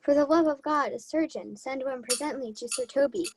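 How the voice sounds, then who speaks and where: High, plaintive young woman as a cowardly knight. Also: brisk, a little mellow, slight background noise. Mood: panicked. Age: young adult. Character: whining, cowardly injured knight, a foolish man. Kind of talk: 1940s radio play comic acting.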